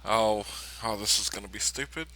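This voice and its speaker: A man's voice: fairly low pitch, normal speed, sharp tone, poor audio. A man playing a tabletop role-playing game, casually calling his character's actions and speaking as him.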